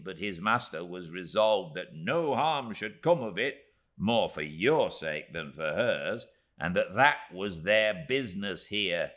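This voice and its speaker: A man's voice: lowish voice, pushed and bright, pitched above the performer's own voice, exaggerated voice. A man reading a storybook and voicing a tiny, emphatic, indignant middle-aged woman in distress.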